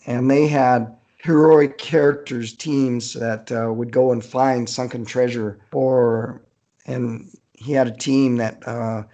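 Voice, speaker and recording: Gravelly, halting man talking casually. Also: medium pitch, warm tone. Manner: halting, searching for words, easygoing. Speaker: author being interviewed. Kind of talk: casual conversational storytelling.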